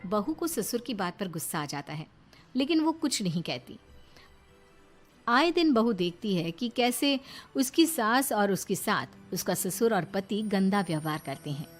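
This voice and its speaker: A woman's voice: mid-range voice, expressive, measured pace, faint music behind. A woman storyteller reading scripted narration for a moral story.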